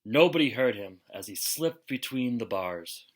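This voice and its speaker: A man reading a children's picture book aloud expressively, with deliberate, dramatic emphasis and pauses. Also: medium pitch, expressive, background noise. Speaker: storybook reader.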